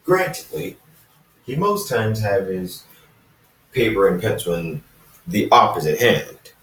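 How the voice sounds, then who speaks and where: A man reading a story aloud informally, halting and casual, with dramatic pauses and asides to listeners. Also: sits low, sing-song, fairly bright tone. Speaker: fan fiction narrator reading own recording. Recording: roomy, echoey, distant microphone.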